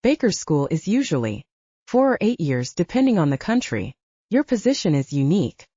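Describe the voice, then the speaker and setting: Woman reading a calm, serious fatherly man. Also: lowish voice, moderate pace, breathy edge, no echo. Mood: measured. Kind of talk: audiobook character reading. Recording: slightly dull lo-fi audio.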